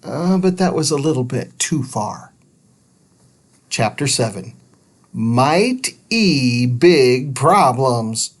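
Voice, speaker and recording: A woman reading a children's storybook aloud as an expressive narrator. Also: very deep voice, takes it slowly. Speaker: storybook reader.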